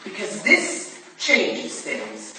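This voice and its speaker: Woman acting a stern, deliberate female investigator. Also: mid-range voice, wildly swooping pitch, sharp tone, full character voice. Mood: intent. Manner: commanding, deliberate, probing. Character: stern, probing female investigator questioning a witness. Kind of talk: staged reading of period mystery drama. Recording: echoey hall from far off, faint noise.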